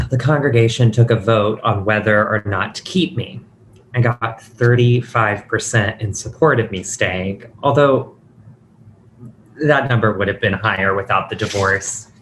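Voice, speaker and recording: A man's voice: lowish voice, a little slow, a bit bright, poor audio. An actor with a male-sounding voice playing a frank, chatty transgender woman who used to be a pastor, in a script table read. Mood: wistful, frank. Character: transgender woman, former Anglican pastor, warm, frank, self-assured. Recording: audible background noise.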